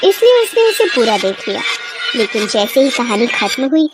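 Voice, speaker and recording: A woman's voice: on the high side, big pitch swings, moderate pace, over loud music. A woman storyteller reading a scripted horror bedtime story. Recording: clear background ambience noise.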